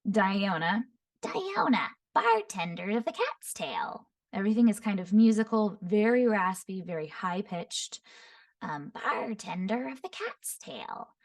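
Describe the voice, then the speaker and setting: A woman voice actor talks through how to copy character voices, in clipped descriptive phrases with frequent quick demos. Her voice sits mid-range in pitch. She is a little distant from the microphone. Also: lively intonation. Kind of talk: voice impression tutorial. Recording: voice somewhat far from the mic.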